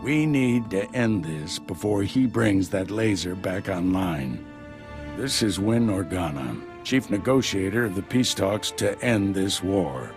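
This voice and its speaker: Deep gravelly Jedi master. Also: male voice, animated, a little slow, over music. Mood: commanding. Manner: dry, wry, commanding. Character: battle-worn gruff Jedi master, dry and steady. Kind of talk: video game cutscene acting.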